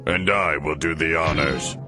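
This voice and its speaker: A man's voice: a bass voice, steady tone, measured pace. A man playing an evil demon king in an anime dub, theatrical and gloating, making grandiose, mock-courteous speeches with sinister relish. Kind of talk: anime dub villain acting. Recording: loud music under the voice.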